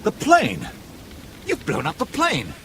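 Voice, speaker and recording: A man's voice: a little high, huge pitch swings, slow, noisy background, full character voice. An actor playing an indignant, principled man caught up in espionage in a radio drama, blunt and exasperated. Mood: shocked, bitter.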